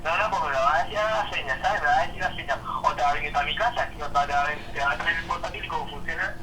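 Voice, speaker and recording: A man's voice: on the high side, very fast. A man with an accent from Spain in a casual phone conversation, colloquial and repeating words, on a noisy, distant line. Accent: Spanish (Spain). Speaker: prank call victim. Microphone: telephone.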